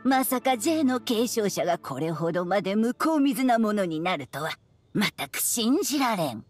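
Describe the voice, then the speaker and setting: A woman's voice: mid-range voice, big pitch swings, normal speed, faint music behind, voice pushed down. A woman in an anime game putting on a stern old female master, archaic, imperious and disdainful. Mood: cold, disdainful. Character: stern, haughty old female master astrologer, being mimicked. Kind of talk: Japanese anime game acting.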